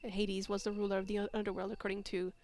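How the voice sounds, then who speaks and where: A woman's voice: medium pitch, flat delivery, quick pace, a little mellow, a little hiss or hum. A young Swedish-born woman with an American accent, chatting casually while streaming a game. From Sweden. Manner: chatty, laughing, explaining trivia. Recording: music playing behind.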